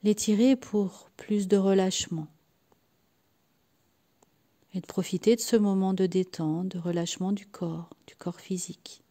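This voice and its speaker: Very slow, soft-voiced woman guiding relaxation. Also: mid-range voice, steady tone. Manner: soothing, unhurried, with long pauses between short phrases. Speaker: guided meditation and sophrology practitioner. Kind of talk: guided yoga nidra relaxation.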